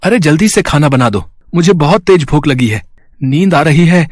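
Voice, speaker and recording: A man voicing a bossy, complaining village farmer in an animated moral story. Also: fairly high pitch, moderate pace, a little hiss or hum, natural voice. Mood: grumbling.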